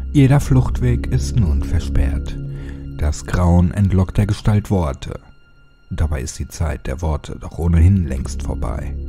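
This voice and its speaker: Very dark-voiced man narrating horror. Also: low voice. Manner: dramatic, suspenseful delivery with deliberate phrasing. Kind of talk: scripted narration of a horror audiobook.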